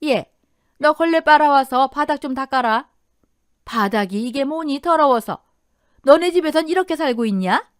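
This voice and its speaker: A spiteful older mother-in-law acted by a woman narrator in a radio drama, high and shrill. She scolds and sneers in a domineering way, with big swoops in pitch. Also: animated, moderate pace, no echo, studio quality. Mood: nagging.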